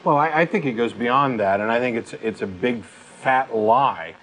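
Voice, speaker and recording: A man in his late thirties speaks as a talk show co-host. His delivery is casual but emphatic and blunt, at an average pitch. There is some noise behind him. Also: normal speed, fairly bright tone, rough recording. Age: late 30s. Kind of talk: casual opinionated discussion.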